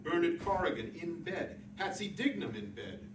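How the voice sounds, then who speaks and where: A man's voice: on the high side, big pitch swings, moderate pace. A man giving a dramatic reading of literary prose. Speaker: literary reader. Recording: roomy echo, distant mic, clear noise.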